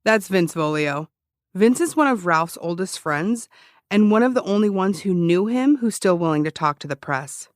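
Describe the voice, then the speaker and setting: A woman's voice: on the low side, animated, normal speed, no echo, clean studio sound, natural voice. A woman playing an obsessive, defensive ex-cop who hosts a true-crime podcast, telling it conversationally with wry emphasis. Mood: wry, intent. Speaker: true-crime podcast host, former police officer. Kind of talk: conversational scripted narration in an audio drama. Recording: music behind the voice. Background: music.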